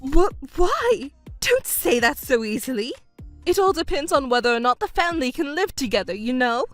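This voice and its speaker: A woman voicing a selfish middle-aged stepmother who whines, wails and accuses in a shrill voice. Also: big pitch swings, moderate pace, soft background music. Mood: pleading. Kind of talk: narrated drama dub.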